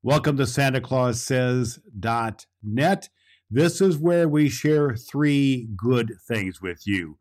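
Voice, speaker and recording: Here is a jolly Santa Claus, played by a man, hosting a children's story podcast. The voice sits at a medium pitch. The delivery is kindly, folksy and inclined to ramble. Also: lively intonation, slow pace, darkish tone. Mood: friendly, inviting. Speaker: host and storyteller.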